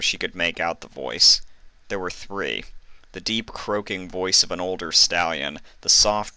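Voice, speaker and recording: A man's voice: sits low, pushed and bright, no echo. A man reading a story aloud as narrator of fan fiction, in scripted narration.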